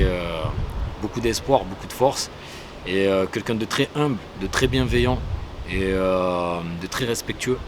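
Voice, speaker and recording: A middle-aged man, a rapper, chatting casually in an interview with relaxed slang and many fillers, outdoors in a city. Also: sits low. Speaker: rapper guest. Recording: outdoor street noise, traffic.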